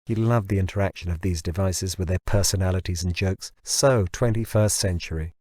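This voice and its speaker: A man narrates a novel in a fairly low voice. It is scripted storytelling for an audiobook. The recording is dry, with no room sound. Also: fairly quick, darkish tone, no room sound.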